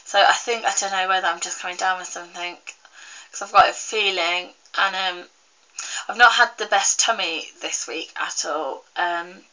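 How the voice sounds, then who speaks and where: A young woman vlogging in a casual, rambling chat to camera, full of fillers and restarts, her voice a little croaky and congested from a cold. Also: on the low side, moderate pace, pushed and bright, rough recording. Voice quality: slightly croaky.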